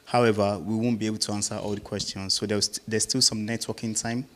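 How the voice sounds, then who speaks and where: Low-pitched man hosting an interview. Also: flat delivery, brisk, a bit bright. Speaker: host interviewing a guest at a business event. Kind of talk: informal live interview.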